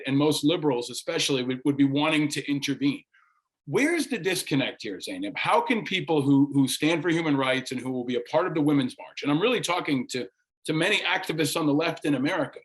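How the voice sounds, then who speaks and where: Man with a higher-pitched voice, pressing questions. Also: a bit bright. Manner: long, insistent, probing questions. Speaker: interview show host. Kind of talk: impassioned political interview.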